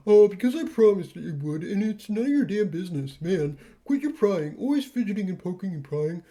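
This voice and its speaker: A man's voice: high-pitched, sing-song, a little fast, mellow. A man voicing a brash, bossy young female troll who schemes and mocks with sarcasm. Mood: irritated. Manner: snarky, bossy, mocking.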